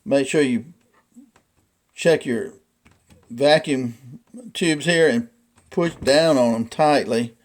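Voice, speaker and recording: A man with a mid-pitched voice talks through a casual, unscripted demonstration as a hobby collector. He explains things in a folksy, rambling way. His delivery is halting, as he works out what to say next. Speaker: vintage radio collector presenting a radio.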